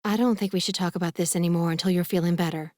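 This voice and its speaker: A woman's voice: lowish voice, steady tone, very fast, a little mellow, dry recording, studio quality, lightly acted. A woman voicing a worried, protective middle-aged mother, stern and fussing, in an audiobook. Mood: reluctant. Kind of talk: audiobook character dialogue.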